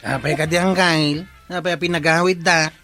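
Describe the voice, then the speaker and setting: A man's voice: on the high side, steady tone, moderate pace, vocal fry, pushed and bright, soft background music. A man playing an indignant village bachelor in a radio comedy drama, exclamatory and protesting. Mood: defensive.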